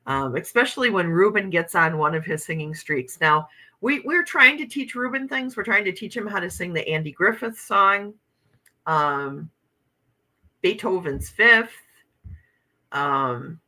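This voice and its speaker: A woman's voice: fairly low pitch, expressive, a little slow, pushed and bright. A woman in her fifties hosting a casual livestream, chatting and laughing as she reads out viewer comments. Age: 50s. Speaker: livestream host.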